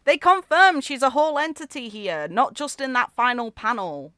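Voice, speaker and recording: A woman giving casual, expressive reaction commentary as a gaming video creator, with informal interjections. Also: high-pitched, normal speed, smooth, dry recording, clean studio sound. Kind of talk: casual reaction commentary, with dramatic reading aloud.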